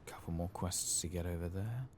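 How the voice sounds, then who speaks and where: A man giving soft-spoken, sometimes whispered ASMR commentary on a game, muttering casually with mild swearing over audible background noise. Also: deep voice, moderate pace. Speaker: game streamer, ASMR playthrough host.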